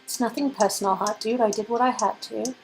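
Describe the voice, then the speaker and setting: A woman playing brisk, guarded female characters in an amateur read-aloud of a romance spy game, with expressive melodrama. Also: on the high side, faint music behind, close to an everyday voice, rough recording. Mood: guarded, apologetic.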